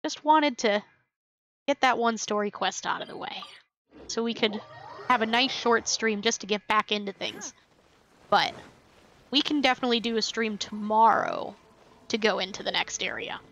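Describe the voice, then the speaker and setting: High-voiced woman streaming a video game. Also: moderate pace, pauses often, fairly bright tone, a little hiss or hum, rough recording. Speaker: game livestreamer. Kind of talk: casual livestream chat while playing.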